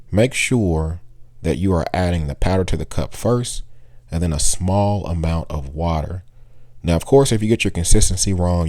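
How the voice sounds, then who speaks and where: Young adult male, casual tips voice-over, deep voice, dry close sound. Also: dry recording. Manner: relaxed, chatty, gives tips with small laughs. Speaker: aquarium hobbyist vlogger.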